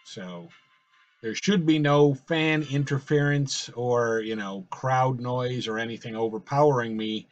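A man calling a simulated baseball game in casual play-by-play, his voice slightly raspy from a cold, rambling and correcting himself as he goes. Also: medium pitch, measured pace, muffled recording. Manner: rambling, with fillers and self-corrections. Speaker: host playing a baseball sim.

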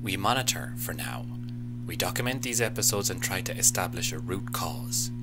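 A man's voice: low voice, lively intonation, moderate pace. A man playing a bossy, exasperated imp in a radio play, anxious and scolding. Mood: grave. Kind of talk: short radio play acting. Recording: loud music behind the voice.

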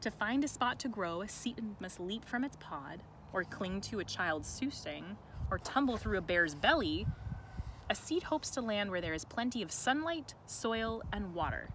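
A woman reading a picture book to children, expressive and deliberate, with chatty asides. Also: medium pitch, rough recording. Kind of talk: read-aloud with chatty asides. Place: outdoors in a sunny farm garden. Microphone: camera held by another person nearby. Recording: outdoor ambient noise.

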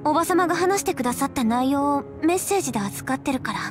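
A gentle young woman from an anime game, speaking high and tender over clearly audible music. She is a soft-hearted comrade. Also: expressive, fast. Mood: caring, sad. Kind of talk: Japanese anime game voice acting.

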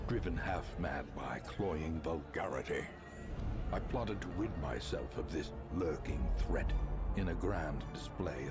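Super deep old gothic nobleman, slow grave storytelling, loud music behind. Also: full character voice. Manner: grave, ornate, theatrical delivery. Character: brooding old aristocratic ancestor narrating his dark past. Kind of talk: gothic dramatic scripted narration. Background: loud dramatic music.

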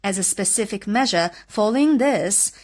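A woman hosting a news podcast, reading scripted reports in a measured, formal way. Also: medium pitch, animated, a little mellow.

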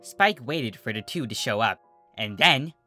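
A narrator reading a scripted comic story in an expressive way. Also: medium pitch, measured pace, music in the background. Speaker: narrator, fan fiction reader.

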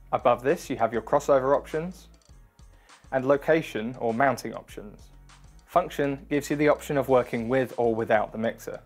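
A man in his thirties narrates a scripted product walkthrough. His delivery is clear and instructional, at a middling pitch, with music playing behind him. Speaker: product demonstration presenter. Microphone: wireless lavalier or close studio mic. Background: background music.

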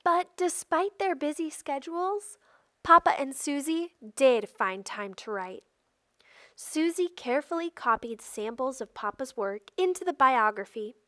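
A woman reading a children's picture book aloud with careful, expressive storytelling delivery. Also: high voice, no echo, clean studio sound. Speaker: storybook reader.

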